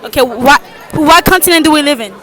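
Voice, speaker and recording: A teenage girl with a high-pitched, bright voice runs a casual street interview. She puts short questions to people in an informal way, with some crowd chatter behind her. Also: brisk. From United States, Texas. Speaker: host asking street quiz questions. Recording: outdoor vlog with people chattering around. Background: faint crowd chatter.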